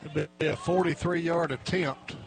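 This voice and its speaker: A man giving unscripted football analysis as a color commentator, in a loose, conversational and halting way. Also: on the high side, steady tone, gravelly.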